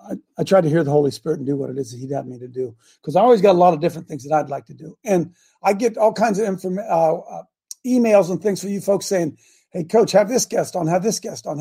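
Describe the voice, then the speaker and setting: An older man with a gravelly, hoarse voice hosting a casual live show, rambling and often clearing his throat. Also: high-pitched, warm tone. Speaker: show host.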